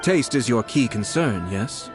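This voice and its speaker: An actor playing a composed, polite young nobleman in a video game, shrewd and quietly mischievous, speaking in measured phrases. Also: mid-range voice, expressive, music in the background, lightly acted. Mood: pleasant, reflective. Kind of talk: video game character acting.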